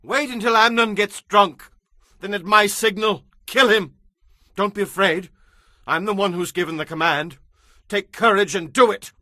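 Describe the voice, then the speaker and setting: Audio Bible drama: commanding, plotting prince, forceful high male voice, dry studio sound. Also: normal speed, no room sound. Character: cunning, commanding young prince plotting revenge.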